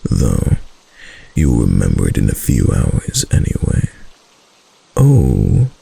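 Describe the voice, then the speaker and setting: Male actor as obsessively devoted fox-spirit husband, extremely low husky voice, slow intimate murmur, roleplay ASMR. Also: full character voice. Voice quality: very deep, husky, gravelly. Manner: soft, lingering, intimate murmur. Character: doting, possessive yandere kitsune husband, obsessively devoted.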